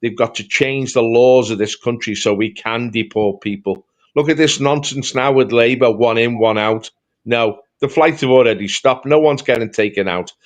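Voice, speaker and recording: A man with a Scouse accent gives political commentary in a talk show discussion. His delivery is emphatic and rhetorical, leaning on repeated phrases to press each point home. His voice sits at an ordinary male pitch. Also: moderate pace. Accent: Liverpool Scouse. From Liverpool, England.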